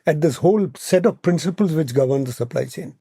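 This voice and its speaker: Indian guy as podcast expert, soft mellow tone, explains stuff step by step, really dry recording. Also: on the high side, lively intonation, fairly quick, gravelly, breathy edge, no room sound. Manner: explanatory, listing points, often ending on "right?". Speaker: expert podcast guest and advisor.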